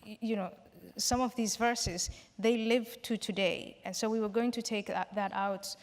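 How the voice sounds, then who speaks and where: Measured woman speaking in a big hall. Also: mid-range voice, fairly even intonation. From Somalia. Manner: measured, explanatory, with restarts. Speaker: panel guest. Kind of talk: public Q&A discussion. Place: large hall. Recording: reverberant hall.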